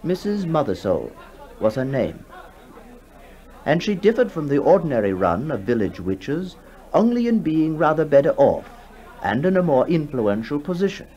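A man narrating a ghost story for 1960s radio in a measured storytelling manner with deliberate pauses. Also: on the high side, sing-song, warm tone, some chatter behind, low-quality recording. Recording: 1960s radio broadcast with music behind.

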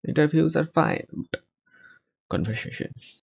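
A man's voice: a little high, very animated, measured pace, creaky, slightly rough. Hesitant young man on a headset. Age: 20s. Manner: hesitant, searching for words. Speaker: podcast host. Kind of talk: casual video-call chat. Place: small home room, indoors.

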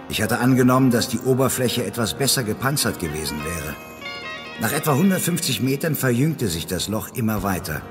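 A man's voice: on the low side, natural voice. Composed heroic space leader, reverberant male actor. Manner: composed, authoritative. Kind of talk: German sci-fi audio drama, dialogue and first-person narration.

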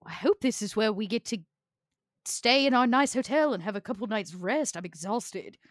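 Animated, higher-voiced woman roleplaying at a game. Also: normal speed, dry recording. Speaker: tabletop player. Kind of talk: animated in-character roleplay and narration.

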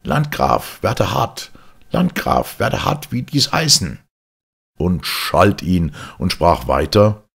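A man voicing an angry village blacksmith who scolds his lord, in a raised, higher voice than his narration. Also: big pitch swings, slow pace, gravelly, a bit bright, slightly rough, full character voice. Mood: scolding.

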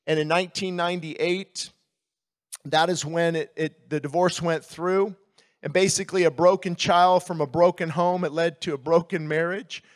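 High-pitched American male pastor. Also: steady tone. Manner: conversational, candid storytelling with pauses and repeated words. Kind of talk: sermon with personal testimony. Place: outdoor stage at a baseball stadium in the evening. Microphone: handheld microphone.

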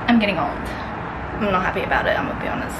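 A woman's voice: medium pitch, expressive, rapid-fire. A woman, chatting casually and playfully in a vlog. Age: early 20s. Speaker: vlogger. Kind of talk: casual birthday vlog chat. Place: apartment bedroom and living room. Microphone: handheld camera at arm's length. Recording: loud background noise, handheld camera in apartment.

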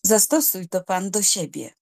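A woman's voice: medium pitch, very animated, measured pace, gravelly, a little mellow, exaggerated voice. A woman acting a proud, scornful young noblewoman in an audiobook drama, speaking in a cold, formal, haughty manner. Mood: cold, offended.

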